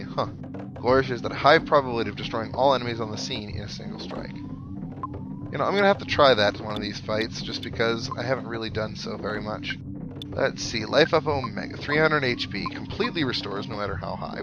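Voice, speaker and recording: A man giving casual video game playthrough commentary, musing aloud as he thinks through tactics. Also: mid-range voice. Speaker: let's player. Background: video game music.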